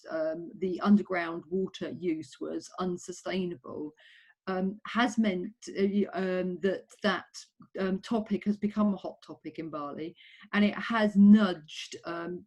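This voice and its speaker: A woman's voice: on the low side, darkish tone. A middle-aged woman answering interview questions as a tourism researcher, talking earnestly with frequent ums and self-corrections.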